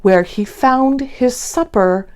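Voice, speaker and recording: A woman hosting a children's read-along, telling a picture-book story in an expressive, theatrical way. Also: a little high. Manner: slow, theatrical, expressive delivery.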